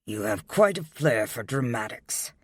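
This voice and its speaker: A man's voice: mid-range voice, big pitch swings, unhurried, fairly bright tone, slightly rough, no echo, studio quality, full character voice. A man voicing a cold, furious husband who sneers with icy contempt. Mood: icy sneering.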